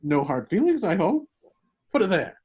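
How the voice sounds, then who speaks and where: A man's voice: high voice, huge pitch swings, normal speed, darkish tone, slightly rough, exaggerated voice. A man playing a silly, jovial old prankster with a gleeful, jokey manner in an amateur script reading. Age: older. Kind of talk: amateur table read of a film script.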